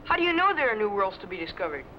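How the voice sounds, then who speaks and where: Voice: mid-range voice, big pitch swings, very fast, over loud music, natural voice. A performer playing an eager, anxious young deck boy, earnest and urgent, in an old-time radio adventure. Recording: dull, muffled old radio recording.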